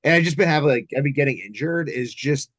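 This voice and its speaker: A man's voice: mid-range voice, lively intonation, quick pace, fairly bright tone, no room sound. A man taking part in a call, chatting casually and breaking in with informal interjections. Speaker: call participant. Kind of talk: casual chat.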